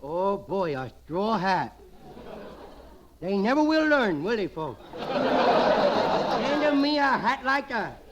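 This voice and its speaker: A man's voice: high-pitched, very animated, slow, pauses often, heavily acted. A man playing a cheeky, wisecracking little boy who talks in childish slang, in 1940s radio comedy style. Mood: brash, excited. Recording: noisy vintage radio recording with clicks.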